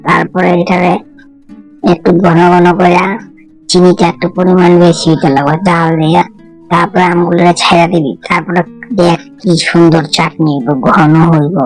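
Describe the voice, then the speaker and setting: A woman's voice: on the low side, vocal fry, darkish tone, poor audio. A woman voicing a chatty village friend in a cartoon, talking familiarly in a colloquial eastern Bengali dialect. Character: chatty village women friends swapping home recipes.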